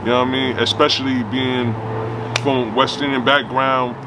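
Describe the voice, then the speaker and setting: A man's voice: mid-range voice, flat delivery, moderate pace, noisy background. A man from Trinidad, a guest tattoo artist, telling his story in casual chat, laid back and peppering his talk with "you know what I mean". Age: adult with own family.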